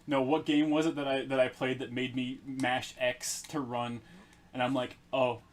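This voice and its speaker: A man's voice: medium pitch, normal speed. Blunt, swearing male streamer. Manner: profane, blunt, excitable. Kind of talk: casual game stream chat.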